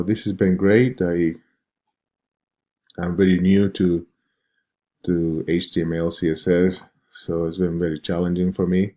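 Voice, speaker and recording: A man from Venezuela giving an informal, unscripted screen-share presentation as a student, speaking in a hesitant, halting way. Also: low-pitched, dark tone. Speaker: web design student presenting a project.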